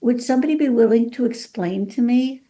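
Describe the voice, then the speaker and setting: A woman's voice: a little high, fairly quick, warm tone. A woman in her fifties who grew up in Mexico City, speaking with a Californian accent, chatting as a guest author in an interview with lots of fillers. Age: 50s. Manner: chatty, many fillers, voices her own past thoughts. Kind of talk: casual interview talk.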